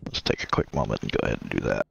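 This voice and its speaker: Congested low-voiced man narrating gameplay. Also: racing, gravelly, dull audio, a little hiss or hum. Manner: relaxed, explains as he builds, many uhs. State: stuffed up, congested. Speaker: let's play host. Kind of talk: casual gameplay commentary.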